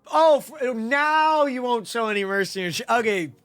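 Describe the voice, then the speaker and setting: A man's voice: very high voice, lively intonation, normal speed, a bit bright, smooth, no echo. A man streaming a video game, reacting casually and expressively in slangy exclamations. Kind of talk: casual live gameplay reaction commentary. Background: game music.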